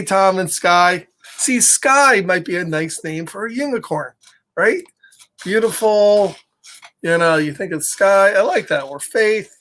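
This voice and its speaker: Middle-aged man, children's storybook host, animated and playful, chatty asides, asks the listeners questions. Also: high-pitched. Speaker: storybook read-aloud host. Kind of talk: live children's story time, chatting with viewers between lines of the book.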